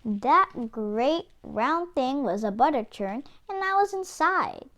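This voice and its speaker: Lady doing a clever little boy pig for storytime, polite but cheeky, high lilting voice. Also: animated, slow pace, a bit bright, exaggerated voice. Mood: cheeky, triumphant. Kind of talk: children's storybook read-aloud.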